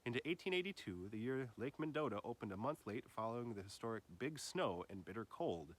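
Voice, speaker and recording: A man reading a literary nature essay aloud in a measured way, his phrases often trailing off softer and lower. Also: big pitch swings.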